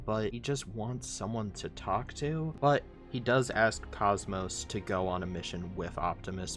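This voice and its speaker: Male video essay narrator, low voice, informal storytelling delivery, light music bed. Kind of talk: informal scripted narration of a comic.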